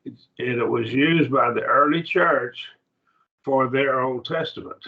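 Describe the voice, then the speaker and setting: A man teaching a college-level Bible study class in a plain, halting lecture with restarts and asides. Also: medium pitch, dull audio.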